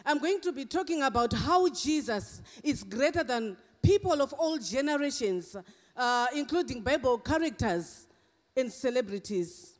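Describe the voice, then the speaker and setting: A man's voice: very high voice, lively intonation, unhurried. A middle-aged man from Zimbabwe with a Zimbabwean accent mixed with English and Australian tones, preaching an emphatic sermon in a church and breaking often into hallelujahs. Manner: emphatic, punctuated with frequent hallelujahs. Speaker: guest preacher. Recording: long church reverb.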